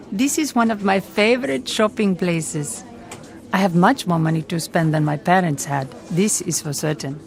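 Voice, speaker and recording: A young IT worker, played by a woman, polite and earnest, speaking in a formal, careful way. Her voice sits at an ordinary middle pitch. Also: darkish tone, lightly acted. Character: young adult female IT worker in Bangalore. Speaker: interviewee. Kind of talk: scripted educational role-play.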